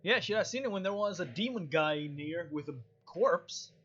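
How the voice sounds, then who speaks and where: A man's voice: high voice, expressive, moderate pace, fairly bright tone, muffled recording, slight background noise. A man giving casual, sarcastic let's-play commentary on a video game, with joking asides and dialogue read aloud.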